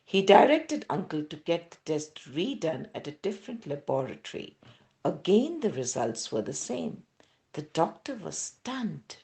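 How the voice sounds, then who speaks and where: Indian woman reading with lively, swooping pitch. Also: vocal fry. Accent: Indian English. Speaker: devotional reader. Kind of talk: scripted devotional narration read aloud.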